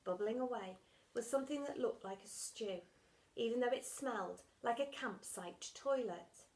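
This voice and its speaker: A woman reading a children's storybook aloud like a teacher, dramatic and expressive, punching the sound words. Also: a little high, moderate pace.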